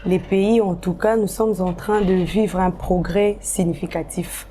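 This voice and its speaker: A woman answers interview questions in an articulate, measured, persuasive way. Her voice sits a little low and sounds mellow and warm. There is faint noise in the background. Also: fairly even intonation. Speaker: guest.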